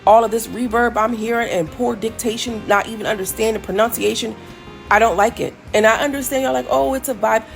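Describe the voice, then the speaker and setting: A woman with a gravelly, creaky voice talks through her opinion of a new rap single in a casual, sassy way. Her pitch leaps up when she mocks what she hears. Faint music plays underneath. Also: brisk. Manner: animated, sassy and critical, with many pitch jumps. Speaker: commentator.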